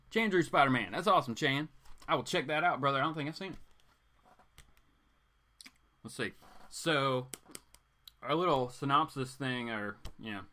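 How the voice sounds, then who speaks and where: A man's voice: mid-range voice, bright, forceful tone. A man hosting a casual comics livestream, rambling informally and turning theatrical when he reads aloud. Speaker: host of a comics reading livestream. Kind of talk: casual livestream chat with dramatic read-aloud bits.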